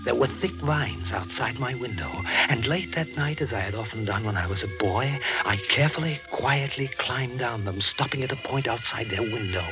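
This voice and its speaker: An actor playing a haunted, suspicious young son in an intense, melodramatic 1940s radio thriller style. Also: male voice, medium pitch, moderate pace, hardly any pauses, lo-fi sound, close to an everyday voice. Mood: brooding. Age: young adult. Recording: old 1940s radio recording, music behind.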